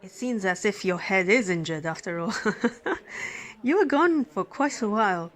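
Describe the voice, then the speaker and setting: Woman playing a sweet caring lady er doctor, asmr roleplay, soft on her patient, soothing n kinda flustered, medium pitch. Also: expressive, moderate pace, close to an everyday voice. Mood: shy, affectionate. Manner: tender, soothing, flustered, dropping into breathy whispers. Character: caring, smitten female emergency physician tending a crash patient. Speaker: roleplay voice performer. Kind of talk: ASMR roleplay acting, intimate one-sided dialogue.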